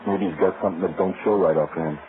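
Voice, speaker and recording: An actor playing a cynical, grudging hotel house detective, blunt and slangy with an American accent, in old radio crime style. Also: male voice, sits low, steady tone, quick pace, close to an everyday voice. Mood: cynical, gossipy. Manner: slangy, blunt, ungrammatical tough talk. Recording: thin muffled old radio recording with hiss.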